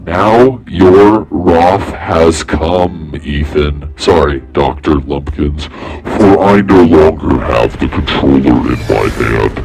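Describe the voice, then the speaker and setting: A man putting on a slow, ominous, gravelly voice to threaten a caller. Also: very deep voice, darkish tone, faint music behind, pitched below the performer's own voice, exaggerated voice, low-quality recording. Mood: menacing. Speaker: stream host.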